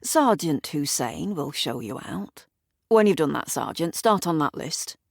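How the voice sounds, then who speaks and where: A woman's voice: deep voice, very animated, a little slow, creaky, dry recording, studio quality, heavily acted. A woman playing a commanding police detective inspector in an audio drama, with clipped official politeness. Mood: brisk, formal.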